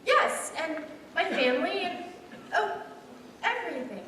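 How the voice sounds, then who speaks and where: A woman's voice: very high-pitched, big pitch swings, unhurried, exaggerated voice. A woman playing a playful young small-town woman in love, in a staged radio play. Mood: wistful, coaxing. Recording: echoey hall from far off, faint noise.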